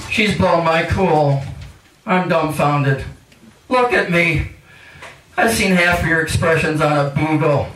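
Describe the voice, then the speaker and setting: An older man reading poetry live and chatting between pieces with wry, mock-highbrow humour. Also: fairly bright tone. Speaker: featured poet at a reading. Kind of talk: live poetry reading with casual rambling introductions. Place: indoor cafe poetry reading. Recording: echoey room, distant mic, hissy noise.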